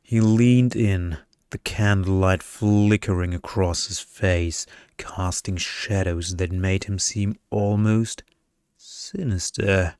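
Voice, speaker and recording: A man narrating a short story in a deliberate, seductive ASMR storytelling style. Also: sits low, fairly even intonation, darkish tone, clear, resonant tone, no room sound, studio quality. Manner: slow, deliberate, seductive delivery.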